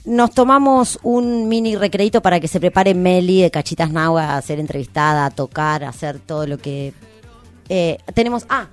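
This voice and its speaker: A woman's voice: on the low side, moderate pace, soft background music. A woman with a Rioplatense Argentine accent, hosting a radio show in casual, playful, slangy chat.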